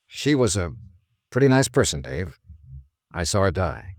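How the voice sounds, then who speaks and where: An actor playing a world-weary insurance investigator in a 1950s radio detective drama, grim and clipped with brooding pauses. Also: male voice, deep voice, sing-song, heavily acted. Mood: somber, subdued. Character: world-weary male insurance investigator, grimly narrating his case. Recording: vintage radio drama with music underneath.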